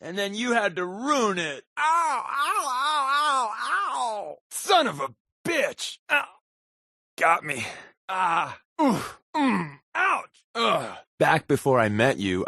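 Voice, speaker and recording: An American man playing a wisecracking, sly undercover agent in a video game, talking in casual quips with a deadpan, sardonic manner. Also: fairly high pitch, takes it slowly, creaky, no echo, clean studio sound, exaggerated voice. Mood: taunting in combat, then pained, then wry, joking. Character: wisecracking, sly adult male undercover agent, habitual fibber. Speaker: companion character in a video game.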